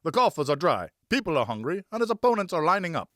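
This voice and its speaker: Guy doing a pompous little fat gentleman in an audiobook, fretting about money, raised pitch. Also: big pitch swings, fast talker, dry recording, studio quality. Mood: worried. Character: short, stout, worried gentleman investor. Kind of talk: audiobook character dialogue.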